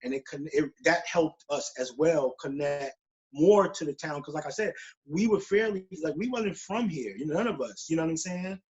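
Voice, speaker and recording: A man's voice: a little high, lively intonation, moderate pace. A forty-year-old man chatting casually as an interview guest in slangy speech, often checking in with "you know what I'm saying", on a dull lo-fi recording. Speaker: guest drummer. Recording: dull lo-fi call audio.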